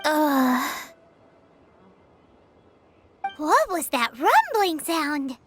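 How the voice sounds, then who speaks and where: A woman voicing a tiny, excitable, greedy fairy companion with a childlike squeaky voice in a video game. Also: slow pace, music in the background, exaggerated voice. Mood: whiny, complaining. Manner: excitable, refers to self in third person.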